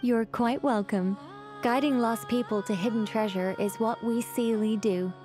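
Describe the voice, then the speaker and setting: A woman voices a playful fairy guide from an anime game. She is fairly high-pitched, chatty and theatrical, with polite self-satisfaction. Music is clearly heard behind her. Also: steady tone, measured pace, close to an everyday voice. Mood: pleased.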